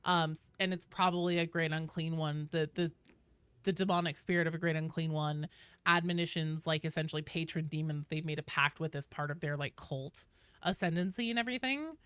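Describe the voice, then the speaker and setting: Woman, livestream host, informal and chatty, slightly low pitch, crisp clean voice, viewer-directed explanations. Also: brisk, a bit bright, no room sound, clean studio sound. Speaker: video game streamer, host. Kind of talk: casual livestream chat with viewers.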